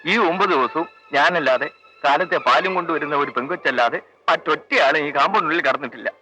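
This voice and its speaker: A man's voice: fairly high pitch, moderate pace, pushed and bright, muffled recording. An older actor playing a frightened old male servant being questioned, in a 1980s film drama with a pleading manner. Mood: nervous.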